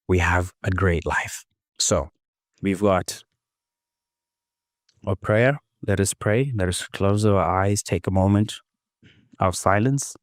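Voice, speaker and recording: Low-voiced guy getting over a cold, a bit hoarse, easygoing devotional talk, dry close sound. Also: no echo. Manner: unhurried, gentle, self-correcting. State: recovering from a cold, slightly hoarse. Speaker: devotional host. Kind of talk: casual devotional reflection.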